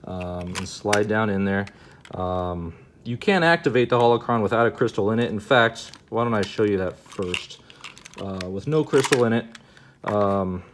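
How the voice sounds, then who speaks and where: A low-voiced man talks through a hands-on hobby demo in a casual, unscripted way. He is halting and circles back over his phrases. There is some noise behind him. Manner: hesitant, halting, repeats himself. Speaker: host of a hobby gadget demonstration video. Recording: noticeable background noise.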